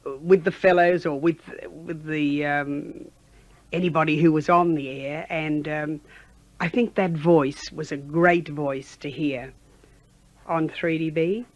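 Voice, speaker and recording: A woman's voice: low-pitched, fairly even intonation, no echo, highs cut off. Older Australian woman reminiscing, dry close sound. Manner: chatty, halting, with frequent "you know". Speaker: former radio announcer being interviewed. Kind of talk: conversational reminiscence.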